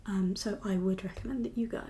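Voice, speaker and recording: Soft whispery lady doing asmr doctor roleplay, calm n reassuring, mellow, bit of background noise. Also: medium pitch, a little fast. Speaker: ASMR performer playing a doctor.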